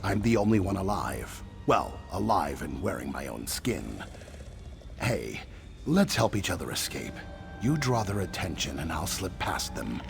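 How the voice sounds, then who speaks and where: Wry, creaky male lizard-folk survivor. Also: low-pitched, sing-song, a little slow, music in the background, full character voice. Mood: grim, scheming. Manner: wry, dry sarcasm, clipped questions tagged on statements. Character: wary sardonic male lizard-folk survivor hiding in a ruined temple. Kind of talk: video game character dialogue.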